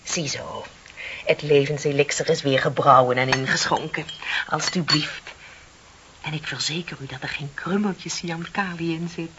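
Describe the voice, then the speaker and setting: A woman's voice: deep voice, steady tone, normal speed, fairly bright tone. An actress playing a chatty, dreamy younger female office clerk in a Dutch radio play, with a theatrical, wryly ironic way of talking. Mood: musing. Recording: dull, hissy old radio recording.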